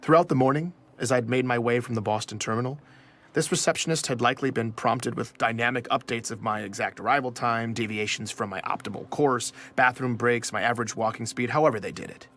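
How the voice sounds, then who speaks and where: An actor playing a nervous investigative journalist in a scripted first-person audio drama. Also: male voice, mid-range voice, fairly even intonation, fairly bright tone, lightly acted. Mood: measured, uneasy. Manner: measured narration, hesitant in dialogue. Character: nervous male investigative journalist narrating his own story. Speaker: narrator.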